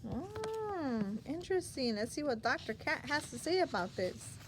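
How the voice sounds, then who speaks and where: A woman's voice: sits high, expressive, moderate pace, a bit bright, slight background noise. A woman reading a picture book aloud to young children, expressively, with asides and questions to the listener.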